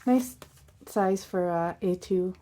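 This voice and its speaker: Hoarse, chatty woman showing off craft supplies. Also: fairly low pitch, slow, mellow. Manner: chatty and bubbly, full of little asides and puns. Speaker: crafting channel host. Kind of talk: casual unboxing chat. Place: indoors at a craft desk.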